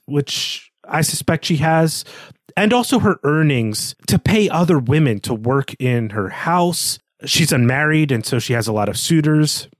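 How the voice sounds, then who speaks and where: A man's voice: mid-range voice, dry recording, studio quality. A man co-hosting a podcast, talking through a literary discussion in an analytical, explanatory way with clarifying asides. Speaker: podcast co-host.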